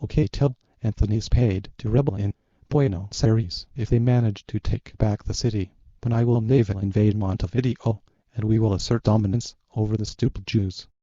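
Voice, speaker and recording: A man's synthetic voice playing a defeated president, flat and resigned. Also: on the low side, normal speed, hardly any pauses, no echo, muffled recording, lightly acted. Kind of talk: synthetic voice in a fan scenario video.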